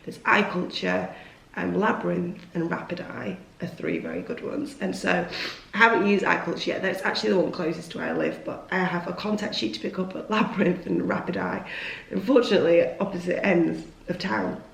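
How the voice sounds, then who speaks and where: Vlogger, woman, voice shot from a cold, low and rough, rambly and self-deprecating. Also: brisk, roomy sound, low-quality recording. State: lost voice after a cold, hoarse. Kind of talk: casual chat to camera. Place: at home, indoors.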